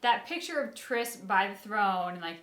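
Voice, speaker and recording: A woman's voice: medium pitch, lively intonation, moderate pace, a bit bright. A woman co-hosting a casual reaction show, musing aloud and giving emphatic opinions on a story. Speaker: co-host of a game reaction show. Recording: echoey room.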